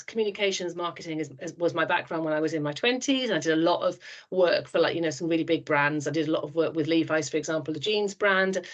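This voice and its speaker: A woman in her fifties from South Wales with a Welsh accent, chatting frankly as a podcast guest, with frequent restarts. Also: lowish voice. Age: 50s. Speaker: trust board chair, guest. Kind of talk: podcast interview.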